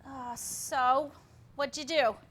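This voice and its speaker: A woman plays a wry housewife in a stage comedy. Her voice is high and bright, with big swings and an unhurried pace. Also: steady tone, a little hiss or hum. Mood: chirpy. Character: wry, deadpan-joking housewife, exasperated daughter. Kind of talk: staged reading of a comic play.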